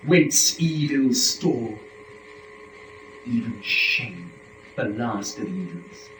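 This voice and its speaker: A man performs an impassioned first man in a dramatic reading of epic verse. He declaims at a very slow, deliberate pace with sweeping emphasis. The recording is roomy and distant, with the microphone well away from him. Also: medium pitch, expressive, a bit bright, slight background noise. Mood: anguished shame.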